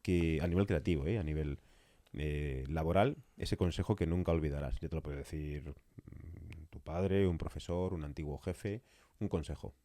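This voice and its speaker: Male host, deep register, casual halting banter, dry studio acoustic. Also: dark tone, no room sound. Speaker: podcast host, interviewer. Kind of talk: casual interview hosting.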